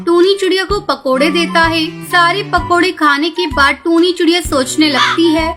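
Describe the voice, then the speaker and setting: A woman's voice: very high voice, steady tone, normal speed, over music. A woman reading scripted narration for a children's cartoon story.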